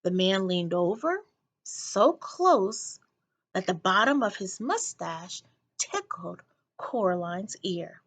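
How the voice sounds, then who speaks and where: A woman narrating a children's book aloud in an expressive read-aloud style, like a teacher to a class. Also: mid-range voice, slow.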